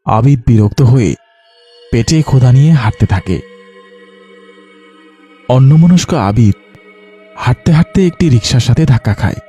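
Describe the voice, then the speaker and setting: A man's voice: mid-range voice, animated, normal speed, long pauses, warm tone, slightly rough, soft background music. A man narrating a scripted cartoon story.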